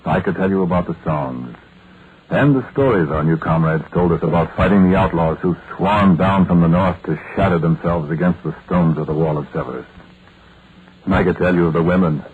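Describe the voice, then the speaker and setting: An actor playing a solemn soldier who tells his strange story in a measured, deliberate way, as in old radio drama. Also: sits low, lightly acted. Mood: wistful, stirring. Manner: measured, deliberate, pausing mid-sentence. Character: modern soldier narrator, solemn, haunted storyteller. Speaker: narrator and lead character. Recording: tinny, noisy vintage radio recording.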